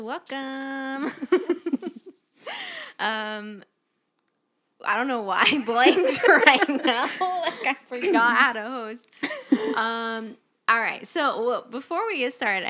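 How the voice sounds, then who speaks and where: A woman's voice: a little high, pushed and bright. A woman in her late twenties hosting a casual podcast chat in an informal, giggly way. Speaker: podcast host.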